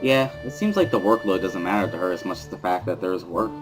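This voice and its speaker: Low-voiced man narrating over music. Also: lively intonation, fast talker. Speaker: narrator of a dramatic reading. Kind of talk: first-person scripted narration of a visual novel.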